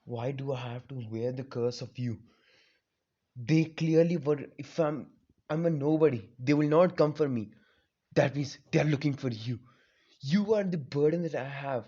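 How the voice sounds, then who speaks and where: A man voicing a tormented young male ninja, speaking in a raised, anguished, shouting voice. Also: mid-range voice, little pitch movement, moderate pace, few pauses, muffled recording, lightly acted.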